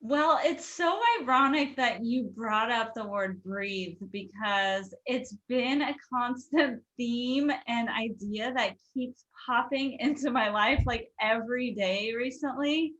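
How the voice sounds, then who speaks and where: A woman's voice: on the high side, slow pace, hardly any pauses, fairly bright tone, muffled recording. A woman hosting a podcast, talking in a gushing, earnest way. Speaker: podcast host. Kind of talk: casual podcast chat.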